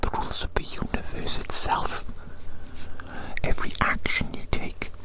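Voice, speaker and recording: Man in a breathy ASMR whisper. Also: moderate pace. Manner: rambling, repetitive, whimsically fussy. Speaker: ASMR roleplay creator. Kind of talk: whispered ASMR roleplay monologue.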